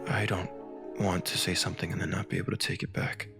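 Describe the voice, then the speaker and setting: A man playing a troubled, hesitant husband and father in a comic dub, speaking haltingly in a gravelly voice. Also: low-pitched, flat delivery. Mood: hesitant, earnest. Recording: music behind the voice. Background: music.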